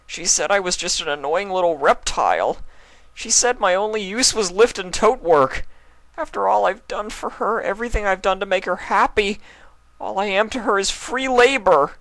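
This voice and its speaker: A high, tearful young male pony, heartbroken and pleading, as a man puts him on. The voice climbs well above the reader's own, with a dry close sound. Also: moderate pace, fairly bright tone, dry recording. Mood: sobbing. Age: young adult.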